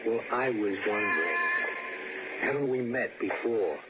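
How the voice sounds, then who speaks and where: A man's voice: on the low side, expressive, measured pace, over loud music. An actor in a 1960s radio suspense play, playing an enigmatic, morbid male stranger who probes and insinuates with hesitant phrasing. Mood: insinuating. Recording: noisy muffled old radio recording.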